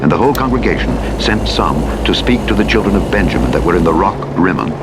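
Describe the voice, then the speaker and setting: A man narrating scripture from a script in a solemn, measured, formal delivery. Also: low voice, lively intonation, loud music behind the voice. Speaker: audiobook Bible narrator. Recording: loud vehicle engine noise throughout. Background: truck driving simulator game sounds.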